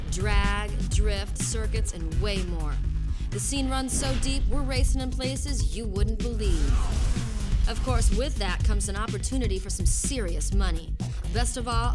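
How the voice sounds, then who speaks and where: An actress playing a confident, bossy young street-racing fixer in a video game, talking in brash street slang. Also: female voice, mid-range voice, close to an everyday voice. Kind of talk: scripted video game cutscene dialogue. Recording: loud music behind the voice. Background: loud music.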